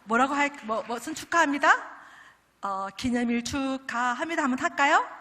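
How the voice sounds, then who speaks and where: A woman's voice: sits high, moderate pace, bright, forceful tone. A middle-aged woman from Daegu with a Gyeongsang accent, a pastor's wife giving a humorous testimony sermon at a prayer meeting. She chats and jokes with the congregation through teasing asides. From Daegu, South Korea. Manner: chatty and joking, teasing the audience with asides. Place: large church sanctuary. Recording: long reverb of a large church hall.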